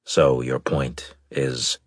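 A man voicing a large, gruff admiral in an audiobook, husky, deliberate and authoritative, with a skeptical, probing manner. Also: very deep voice, flat delivery, no echo, studio quality, pitched below the performer's own voice, full character voice. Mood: chiding, measured. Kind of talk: audiobook character dialogue.

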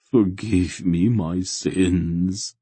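A man's voice: sits low, fairly even intonation, drawn-out words, dark tone, slightly rough, dry recording, exaggerated voice. A man voicing a fervent, guilt-ridden religious fanatic in an audiobook, intoning and pleading. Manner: intoning, groaning, beseeching.

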